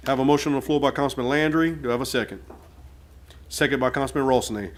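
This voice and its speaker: A man's voice: medium pitch, quick pace. A man chairing a council meeting in formal parliamentary procedure, speaking into a meeting microphone. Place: public meeting room.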